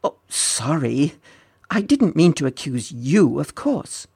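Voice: medium pitch, big pitch swings, takes it slowly, gravelly, a little mellow, husky, no echo, studio quality, full character voice. A storybook reader voicing an earnest, kindly young groom boy who is timid but eager and a little hesitant. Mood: puzzled, apologetic.

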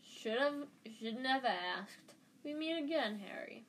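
A woman's voice: high voice, animated, slow pace, slight background noise, voice pushed up, poor audio. A woman voicing a jovial, self-important adult male wizard in a storybook, hearty and pompous.

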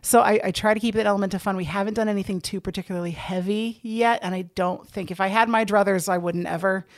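A woman's voice: medium pitch, fairly even intonation, quick pace, no echo, clean studio sound. A woman speaking as a podcast guest in casual, candid, emphatic conversation. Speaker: podcast guest, table-read organiser. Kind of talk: casual interview chat.

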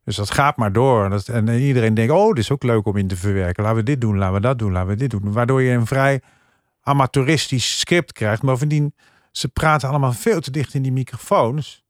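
A man's voice: mid-range voice, fairly quick, no room sound, studio quality. An older man in a casual panel discussion, giving firm opinions and repeating phrases for emphasis. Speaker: podcast panel critic.

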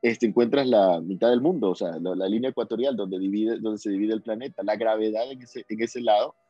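A man's voice: on the low side, a little fast, warm tone, husky, no room sound, dull audio. A young man from Ecuador with a coastal Ecuadorian accent, chatting as an interview guest and rambling with many restarts. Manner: rambling, repeats and restarts words. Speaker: guest musician. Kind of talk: casual interview chat.

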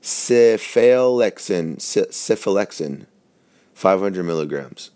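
A man's voice: low-pitched, expressive, slow, mellow. A young man hosting his own podcast, talking casually and rambling with a scratchy voice from a sore throat, loose and profane, full of fillers and restarts. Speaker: podcast host. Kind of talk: casual solo podcast chat.

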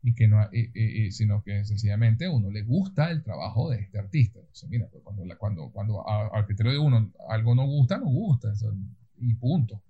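A man co-hosting a casual chat podcast, halting and stammering on repeated words. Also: lowish voice, lively intonation, breathy edge. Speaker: podcast co-host. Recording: dull lo-fi audio.

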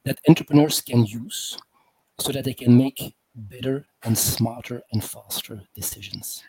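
A man from continental Europe, a guest economist, explaining things in a measured, hesitant way and trailing off softly. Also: medium pitch, a crowd in the background, rough recording. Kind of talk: explanatory interview talk.

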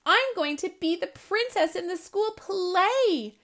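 A woman's voice: very high-pitched, expressive, slow pace, a bit bright, pitched above the performer's own voice, exaggerated voice. A woman voicing an eager, sensitive little schoolgirl in a storybook reading, with childlike emphasis. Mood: excited.

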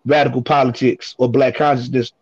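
A man's voice: medium pitch, level tone, fairly quick, gravelly, a little mellow, slightly rough, dry recording. Hesitant man on dull, dry audio. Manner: hesitant, with frequent ums and repeated words. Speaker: guest hip hop artist. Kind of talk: casual podcast conversation.

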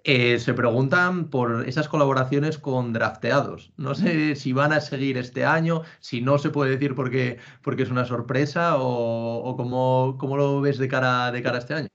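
A man's voice: mid-range voice, steady tone, moderate pace, hardly any pauses, a bit bright. A man from Asturias hosting a podcast interview, asking questions in a friendly, hesitant way with many fillers. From Asturias, Spain. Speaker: podcast host. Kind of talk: casual interview. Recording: dull lo-fi sound.

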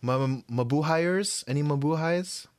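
A man's voice: on the high side, normal speed, darkish tone. A man with a Filipino accent chatting casually on a livestream, easygoing, often repeating words as he starts a thought. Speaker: stream co-host. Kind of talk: casual chat with friends on a livestream.

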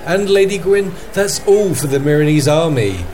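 A man playing an earnest war correspondent, giving measured, emphatic reports in a fantasy radio news play. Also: a little high, lively intonation, a little mellow, soft background music, close to an everyday voice. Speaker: field correspondent.

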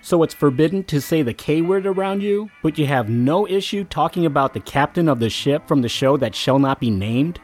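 Man as a husky, sceptical trapped friend. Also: a little high, animated, normal speed, few pauses, faint music behind. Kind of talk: comedic audio drama acting.

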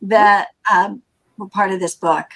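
A middle-aged woman hosts a podcast in an earnest, upbeat way. Her pitch sits in the middle, and she pauses with an uh or um as she talks. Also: fairly even intonation, gravelly, a bit bright. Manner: earnest, enthusiastic, with fillers. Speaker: podcast host. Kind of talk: casual podcast chat.

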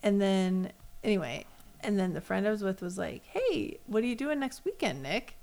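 An American woman with noticeable vocal fry at a medium pitch, co-hosting a casual chat. She tells personal stories in an animated way and slips into acting out the things people said. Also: moderate pace. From US West Coast. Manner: animated storyteller, acts out quoted remarks. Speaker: co-host.

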